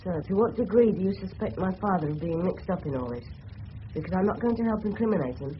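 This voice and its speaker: An actor playing an earnest, polite teenage English schoolboy in a 1970s radio drama, well-spoken. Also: male voice, high-pitched, expressive, fast. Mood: polite, guarded. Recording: muffled, noisy old radio broadcast.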